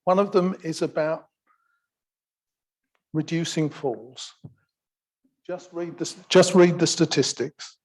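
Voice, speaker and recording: A soft-spoken man giving an informal talk at a community meeting, unhurried and gently wry. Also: a little high. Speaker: assembly action group member speaking at a community meeting. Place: theatre function room, live event.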